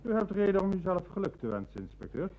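An actor with a Netherlands Dutch accent playing a courteous bank manager in an old radio drama. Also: male voice, high voice, sing-song, fast talker. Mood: genial, polite. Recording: dull old radio-play recording.

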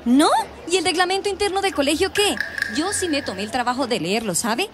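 High-pitched, indignant, bossy Colombian woman. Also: lively intonation, brisk, a crowd in the background, noisy background. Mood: defiant. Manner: confrontational, scornful. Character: stern, indignant, bossy parents' association president. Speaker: radio drama actress. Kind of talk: radio drama acting.

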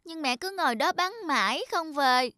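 A woman voicing a small, earnest child in an animated moral story, polite and pleading. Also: high voice, moderate pace. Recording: music behind the dialogue.

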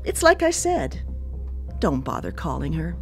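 An actress in a radio play playing a controlling, composed cannibal cook who dotes menacingly on family. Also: female voice, deep voice, wildly swooping pitch, unhurried, vocal fry, soft background music, exaggerated voice. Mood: coy, menacing. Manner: composed, coy, domineering. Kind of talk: live radio play acting.

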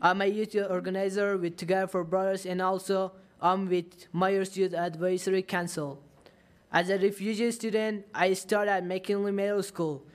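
A man's voice: high voice, level tone, normal speed. A teenage boy who grew up in Turkey and speaks Dari natively, reading a prepared public comment at a podium microphone in a large council chamber. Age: teens.

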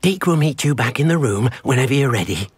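An actor playing a gentle, earnest, small elderly house-elf servant in a video game, who speaks of himself in the third person. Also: male voice, mid-range voice, lively intonation, brisk, slightly rough, dry recording. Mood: helpful, polite. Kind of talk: scripted video game character acting.

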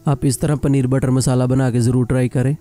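A man narrating a cooking video voice-over, explaining each step calmly and plainly. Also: medium pitch, brisk, soft background music. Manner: calm step-by-step instruction. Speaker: cooking channel host.

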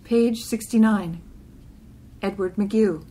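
A woman reading a literary poem aloud in deliberate, clipped phrases. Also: medium pitch, dark tone, a little hiss or hum, low-quality recording. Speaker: poetry reader.

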